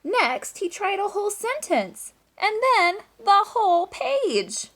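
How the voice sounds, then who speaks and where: A woman teacher doing an animated read-aloud of a children's story, with chatty asides and questions to young listeners. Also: extremely high pitch, slow pace. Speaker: teacher reading aloud to class.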